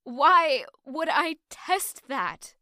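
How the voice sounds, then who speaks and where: A bright, forward voice for a young woman in love, played by an actress. She speaks slowly and a little high, with emotional weight, torn and defensively loyal. It is audio drama acting, recorded close and dry. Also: very animated, no echo, exaggerated voice. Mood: defensive, upset.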